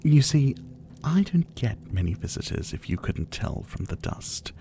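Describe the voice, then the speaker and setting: A man's voice: mid-range voice, big pitch swings, mellow, a little hiss or hum. A man playing a menacing, teasing vampire captor in an intimate ASMR roleplay, drawling and mockingly polite. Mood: amused, leisurely. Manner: slow, drawling, mockingly polite, with breathy chuckles. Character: menacing, teasing male vampire captor, silver-haired, about seventy.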